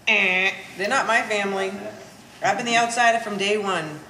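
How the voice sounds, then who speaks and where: A woman's voice: mid-range voice, moderate pace, bright, forceful tone, close to an everyday voice. Woman playing sardonic sixty-year-old daughter, echoey room. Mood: bitter. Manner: dry, pompous big words. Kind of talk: amateur staged play reading. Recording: echoey room, faint background noise.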